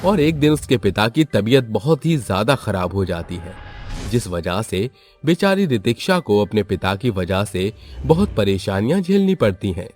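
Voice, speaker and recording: A man's voice: lowish voice, sing-song, normal speed, a little mellow. A man narrating a scripted moral story for children. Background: background music.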